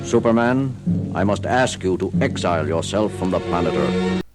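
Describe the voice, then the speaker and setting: An actor playing a solemn, regretful US President in an audio drama, formal and deliberate in delivery. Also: male voice, low voice, animated, music in the background. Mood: grave, regretful. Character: grave, regretful male US President. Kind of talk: theatrical audio drama acting.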